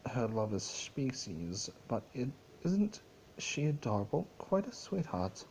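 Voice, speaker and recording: A man's voice: medium pitch, sing-song, unhurried, darkish tone, rough recording. An earnest, regal mare, as a man reads her speaks formally to a sister in a storybook tale. There is faint noise in the recording. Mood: fond.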